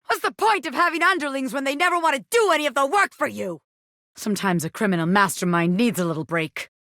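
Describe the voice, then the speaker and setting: Brash, high-pitched little gangster boss. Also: female voice, lively intonation, fast talker, dry recording, studio quality. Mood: scheming, cocky. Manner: brash, bossy tough talk with a soft spot. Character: cocky small-time gangster boss, a living pin. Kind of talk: video game character voice acting.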